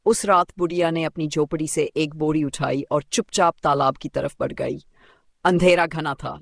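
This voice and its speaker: A man with a fairly high voice and a marked gravelly creak narrates a folk tale. His delivery is deliberate and builds suspense, as scripted dramatic storytelling. The sound is dry and close. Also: no room sound.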